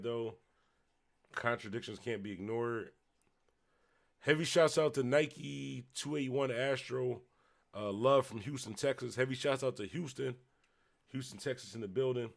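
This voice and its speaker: A man from Buffalo with a Western New York accent, a little tipsy, rambling loosely as he hosts a late-night livestream and shouts out viewers. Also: mid-range voice, steady tone, unhurried, creaky, pauses often. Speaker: livestream host. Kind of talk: casual livestream chat reading comments.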